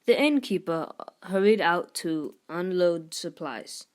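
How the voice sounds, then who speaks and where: A woman reads a children's story aloud in an expressive scripted narration style. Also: fairly low pitch, slow. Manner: expressive, lifts voice for character dialogue. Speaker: storybook reader.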